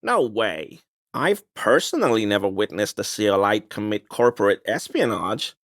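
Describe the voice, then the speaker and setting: A man's voice: lowish voice, very animated, moderate pace, dry recording, studio quality. An actor playing a smug, conspiracy-minded man in a video game, speaking in an insistent, knowing, insinuating way. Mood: dismissive, smug. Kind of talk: video game dialogue voice lines.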